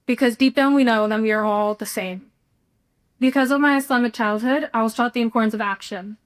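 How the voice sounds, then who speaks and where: A young American woman from Texas, a student speaker delivering a prepared speech in an earnest, deliberate way. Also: fairly high pitch. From Houston, Texas, United States. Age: young adult.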